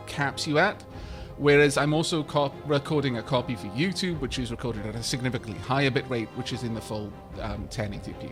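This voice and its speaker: Wry rambling male game streamer. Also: mid-range voice. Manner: rambling, wry, thanks viewers by name. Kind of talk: live-stream gameplay chat.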